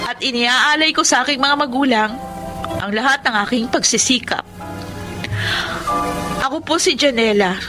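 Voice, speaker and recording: A woman's voice: high voice, normal speed, pauses often, close to an everyday voice, poor audio. A young woman acting as a devoted college-student daughter in a radio drama, with an emotional, heartfelt delivery. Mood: solemn, proud. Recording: loud dramatic music bed. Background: music.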